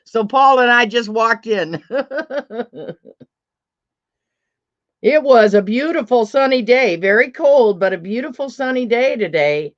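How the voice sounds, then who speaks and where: A middle-aged woman with a deep voice, hosting a casual livestream chat, chatty and laughing often as she reads out comments. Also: lively intonation, slow, long pauses, lo-fi sound. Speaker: livestream host.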